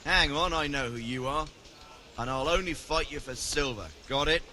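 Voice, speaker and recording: A man's voice: on the high side, normal speed, bright, forceful tone, slight background noise. A man playing a suspicious brawler in a video game, curt and challenging. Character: gruff, suspicious male tavern brawler. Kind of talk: dramatic video game dialogue.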